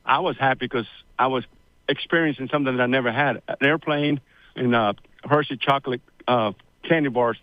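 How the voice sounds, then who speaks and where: A man's voice: mid-range voice, a little fast, no room sound, narrow, tinny audio. A man in his sixties, born in Cuba, telling his family story as a radio guest in a rambling, chatty way, with many fillers. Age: 60s. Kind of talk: casual interview storytelling.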